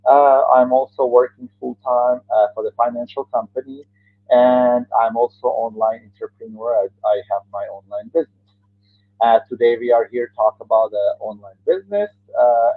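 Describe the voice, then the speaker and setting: A man's voice: mid-range voice, no echo. A man with a Croatian accent hosting an interview over a video call, hesitant with many fillers and non-native grammar. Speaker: interview host. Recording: tinny phone-like line, dry.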